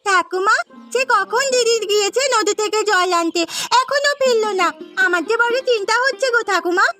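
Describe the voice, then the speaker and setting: A woman's voice: very high-pitched, normal speed, over loud music, pitched above the performer's own voice. A woman voicing an anxious, whiny young village girl, a fretful little sister in a cartoon story. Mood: worried. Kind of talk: Bengali cartoon story acting.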